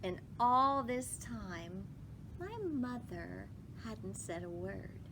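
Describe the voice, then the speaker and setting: A woman reading a children's story aloud with emphatic phrasing, pausing to put questions to listeners. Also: lively intonation. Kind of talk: expressive children's read-aloud with asides to listeners. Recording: clearly noisy with low rumble.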